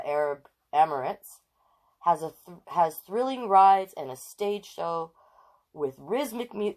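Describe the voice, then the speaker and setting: A woman hosting a children's storytime, chatting casually with viewers and reading aloud in an exclaiming, direct way. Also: deep voice. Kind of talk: casual livestream chat and read-aloud to children.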